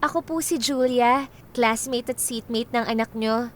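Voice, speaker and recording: A woman's voice: a little high, normal speed, slight background noise, close to an everyday voice. A woman playing a friendly, candid college student in a Filipino radio drama, chatty and slangy in manner. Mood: polite.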